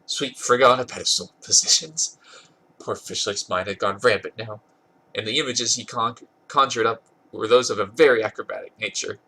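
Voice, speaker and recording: Congested, husky man reading a story aloud. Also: fairly low pitch, moderate pace, bright, forceful tone, poor audio. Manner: amused, stumbles over words and corrects himself. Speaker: podcast host reading fan fiction aloud. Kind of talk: dramatic reading of a comic story.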